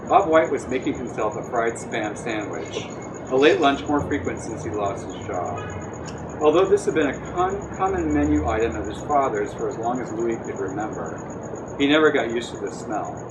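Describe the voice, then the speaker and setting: A man's voice: mid-range voice, sing-song, moderate pace. A man reading aloud from his own novel as its author at a live literary event. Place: bookstore cafe reading event. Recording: distant mic, very loud room noise.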